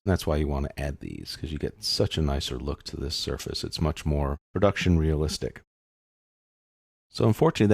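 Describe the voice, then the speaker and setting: A man narrating a casual software tutorial as an instructor, informally thinking aloud through each step. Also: low-pitched, creaky, dry recording, studio quality.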